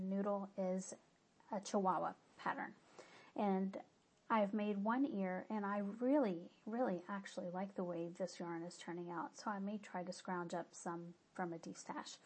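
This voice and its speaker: A woman's voice: mid-range voice, a little mellow. A woman with a stuffy nose giving a casual, rambling update on her knitting projects, often stopping to correct herself. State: stuffy-nosed. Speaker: knitting podcaster.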